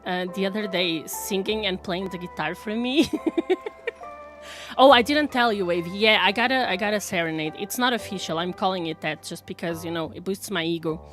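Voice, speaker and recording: A woman's voice: mid-range voice, fairly quick, fairly bright tone, faint music behind. A woman from Portugal with a Portuguese accent, chatting casually with viewers on a live stream, laughing often and restarting her words.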